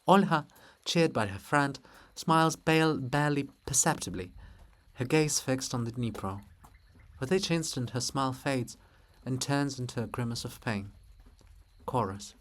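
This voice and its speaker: A man reading stage directions as a narrator, in a deliberate, measured dramatic style. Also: mid-range voice, animated, fairly bright tone. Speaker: narrator reading a play aloud.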